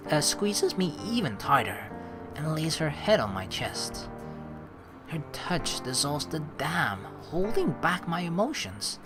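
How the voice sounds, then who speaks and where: A woman with a low, lively voice reads a visual novel aloud as a let's play host. Her pace is unhurried and her pitch moves a lot, with music behind her. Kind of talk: expressive read-aloud narration of a visual novel. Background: game music.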